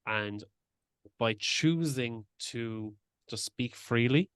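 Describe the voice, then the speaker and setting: Man, podcast host, smooth clear voice, dry close sound, open, shares personal stories. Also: mid-range voice, very slow, fairly bright tone, no echo. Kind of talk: casual interview talk.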